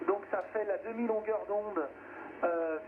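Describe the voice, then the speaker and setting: A man's voice: high voice, a little slow. A man chatting casually about technical things over amateur radio, agreeable and repeating himself. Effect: radio transmission. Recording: tinny band-limited shortwave radio, clear static.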